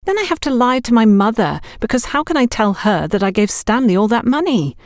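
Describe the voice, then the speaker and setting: Woman voicing an exasperated young saleswoman, pitched up. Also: animated, fairly quick, no room sound, clean studio sound. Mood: aggrieved, complaining. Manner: indignant, dramatic complaining. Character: exasperated young saleswoman fed up with her scrounging boyfriend. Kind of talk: audiobook character dialogue.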